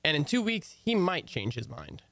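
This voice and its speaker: An actor playing a put-upon husband in a radio comedy, with a dry, sardonic way of talking. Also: male voice, on the high side, big pitch swings, normal speed, vocal fry, fairly bright tone, dry recording, heavily acted. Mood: wry. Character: wry, put-upon husband narrating his domestic troubles. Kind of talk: old-time radio comedy narration and dialogue.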